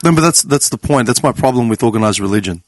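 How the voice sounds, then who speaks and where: A man's voice: mid-range voice, expressive, fast, a little hiss or hum. A man in his late thirties hosting a podcast in casual conversation, blunt and teasing, swearing freely. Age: 30s. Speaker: podcast host. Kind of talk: casual chat. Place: podcast studio.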